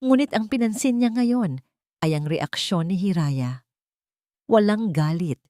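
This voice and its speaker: A woman's voice: lowish voice, dark tone, no echo, clean studio sound. A woman reading scripted dramatic narration of a love story.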